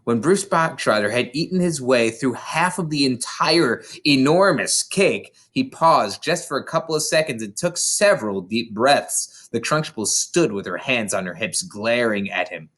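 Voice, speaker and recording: An expressive reader narrates a children's storybook aloud. The medium-pitched voice moves in very lively swoops, with an occasional stumble over a word. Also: a little slow, few pauses. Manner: expressive, stumbles over words now and then. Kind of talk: animated read-aloud narration of a children's novel.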